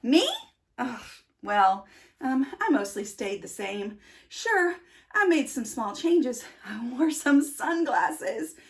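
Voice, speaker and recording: Woman as a hesitant, high-voiced, awkward little bean. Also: sing-song, slow pace, exaggerated voice. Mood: sheepish. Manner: hesitant, stammering, self-deprecating. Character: awkward, shy, self-doubting uncool bean narrating his story.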